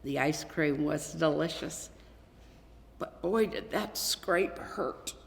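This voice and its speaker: A woman reading a children's Christmas story aloud as scripted narration, in an expressive, deliberate way with dramatic pauses. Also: low voice, gravelly, slight background noise. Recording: echoey hall with long reverb.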